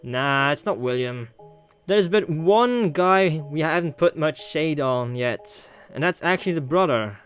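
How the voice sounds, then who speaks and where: A man doing animated let's play commentary, exclaiming, swearing and stretching words for emphasis. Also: a little high, measured pace, smooth, faint music behind. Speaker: gaming streamer reading a visual novel aloud.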